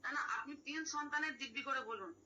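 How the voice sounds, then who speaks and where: A woman's voice: high voice, fairly quick, pushed and bright, slight background noise. A woman pleading insistently and repeating herself in an emotional argument on a phone call recording. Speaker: caller confronting another woman.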